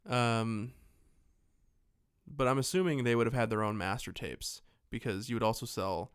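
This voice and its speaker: Man, podcast co-host, mid-pitched, crisp tone, rambling, jokey, profane, casual chat, dry room. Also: normal speed, no echo. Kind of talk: casual film discussion chat.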